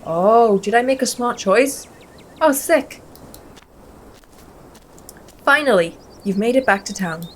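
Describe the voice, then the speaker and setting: A woman hosting a gaming video, reading the story aloud and commenting in a casual, expressive manner. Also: a little high, slow pace, pauses often, slight background noise. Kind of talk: playthrough commentary and read-aloud narration. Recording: music behind the voice. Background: music.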